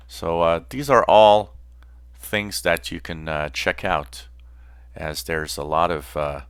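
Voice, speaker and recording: A man hosting a hobby channel, explaining informally in a halting way with pauses mid-phrase. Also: low voice, a bit bright, smooth, no room sound. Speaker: host of a shortwave radio channel.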